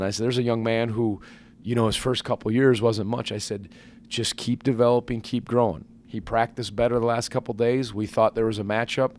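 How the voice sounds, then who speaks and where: A man in his forties, a basketball head coach answering questions at a postgame press conference into a table microphone, measured and earnest with many fillers and restarts. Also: mid-range voice. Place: press conference room with sponsor backdrop.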